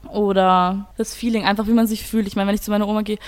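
A woman's voice: medium pitch, flat delivery, fast talker, in a large hall. A teenage girl, casually arguing her opinion as a student guest in a radio discussion.

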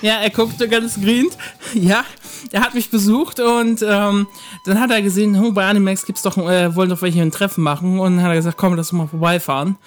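A man's voice: high-pitched, steady tone, fairly quick, faint music behind. A man from northern Germany, a podcast guest telling rambling stories with lots of fillers. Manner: rambling storyteller, many fillers. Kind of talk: casual podcast chat.

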